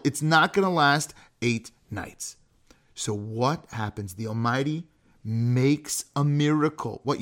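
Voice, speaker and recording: A man giving an animated, conversational religious lecture, emphatic, with rhetorical questions and frequent checks on his listeners. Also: a little high.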